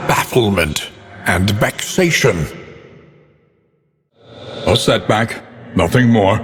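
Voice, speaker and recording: An actor playing a grave, solemn narrator of a gothic horror game, speaking in ornate, theatrical prose. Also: male voice, fairly low pitch, heavily acted. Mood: ominous, grave. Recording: long reverb tail on the voice.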